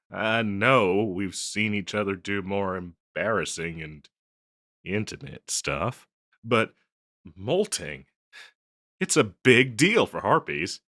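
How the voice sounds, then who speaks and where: Guy playing a flustered harpy boyfriend, deep bright voice, stammery n apologetic, intimate audio rp. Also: lively intonation, slow, pauses often, dry recording, clean studio sound, heavily acted. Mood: embarrassed, apologetic. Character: flustered, self-conscious young male harpy boyfriend, tender and apologetic. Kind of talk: scripted audio roleplay, intimate one-sided dialogue.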